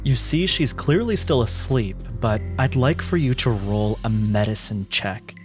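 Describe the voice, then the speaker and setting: A man narrating a horror tabletop game as game master, with dramatic, descriptive and deliberate phrasing. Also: medium pitch, expressive. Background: background music.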